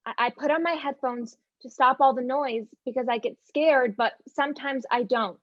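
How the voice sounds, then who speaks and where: Young woman as an anxious, stammering girl, high voice. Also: normal speed, a bit bright, no echo, lo-fi sound. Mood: hurt, subdued. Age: young adult. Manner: stammering, insistent, nervous. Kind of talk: student one-act stage play acting.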